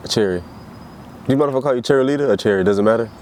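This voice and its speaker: A man hosts a casual interview show, asking questions loosely and haltingly, with frequent restarts. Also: mid-range voice, darkish tone, a little hiss or hum. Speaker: interview host.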